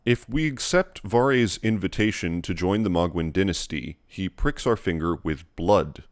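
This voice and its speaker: A man narrating a scripted, analytical lore video in a measured, explanatory way. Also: deep voice, animated, no echo.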